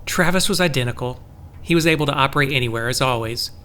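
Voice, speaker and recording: A man's voice: mid-range voice, fast, bright, forceful tone, close to an everyday voice. A man playing an earnest, troubled, lovelorn farm resident in an audio drama, speaking confidingly. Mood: troubled, regretful. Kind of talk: scripted audio drama acting.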